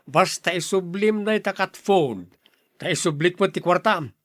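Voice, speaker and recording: A man's voice: sits high, moderate pace, dry recording, natural voice. A man plays a short-tempered Ilocano older brother in a radio-style family drama. He finds fault with everyone and speaks bluntly, like someone used to scolding. The sound is dry, with very little room in it. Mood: angry, scolding. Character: irritable, fault-finding older brother and shopkeeper.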